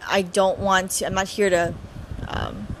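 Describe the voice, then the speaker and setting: A woman's voice: medium pitch, flat delivery, unhurried, fairly bright tone, slight background noise, rough recording. A woman in her thirties from Hawaii, chatting casually as she introduces her podcast at home, stammering and restarting often with giggles. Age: 30s. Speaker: podcast host.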